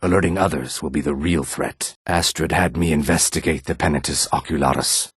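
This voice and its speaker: Reptilian outlaw, video game character, low rasping voice, deliberate phrasing, close dry sound. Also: male voice, fairly even intonation, no echo, full character voice. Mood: calm, cautionary. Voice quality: husky, rasping.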